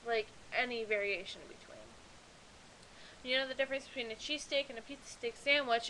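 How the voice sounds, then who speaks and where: A woman's voice: on the high side, a bit bright, low-quality recording. A young woman from Pennsylvania with a Pennsylvania accent, chatting casually as a vlogger and reading a list aloud with lots of "like" fillers. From Pennsylvania, USA. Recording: faint hiss.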